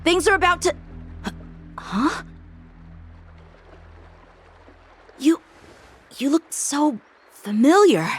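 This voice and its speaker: High, animated young warrior girl. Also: slow, slight background noise, full character voice. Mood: startled. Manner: feisty, emphatic, declarative. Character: bold, righteous young female warrior chasing fugitives. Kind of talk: dramatic anime game voice acting.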